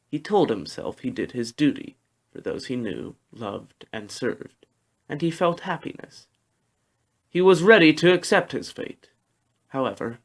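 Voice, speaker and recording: A man narrating a science fiction novel in the style of an audiobook, reading from a script. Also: medium pitch.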